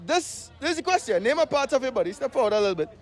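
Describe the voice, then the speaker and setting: A man's voice: very high voice, very animated, very fast, some chatter behind. A man with a Trinidadian accent hosting a comic street quiz, coaxing people along with short repeated phrases. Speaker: street interviewer and show host. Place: outdoors on a street.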